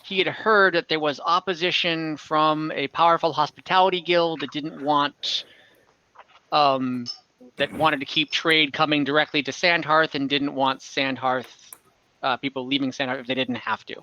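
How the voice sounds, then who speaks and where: A man's voice: fairly high pitch, fairly even intonation, moderate pace, pushed and bright, no room sound, dull audio. A man improvising narration as game master of a tabletop fantasy game over an online call.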